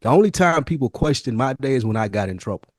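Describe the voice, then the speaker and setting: A man's voice: medium pitch, expressive, quick pace, no echo, clean studio sound. A man with an American accent speaking candidly in slangy, sometimes profane, reflective podcast conversation. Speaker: podcast co-host. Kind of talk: casual reflective podcast chat.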